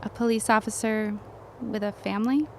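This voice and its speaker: A woman's voice: mid-range voice, fairly even intonation, normal speed, darkish tone, slight background noise, natural voice. A woman playing a wry, strong-willed young woman in a period audio drama. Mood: teasing.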